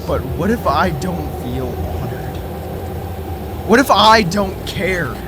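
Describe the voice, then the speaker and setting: An actor playing a lonely conspiracy theorist who stammers but stays defiant. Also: male voice, high-pitched, lively intonation, takes it slowly, over loud music. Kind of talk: audio drama acting.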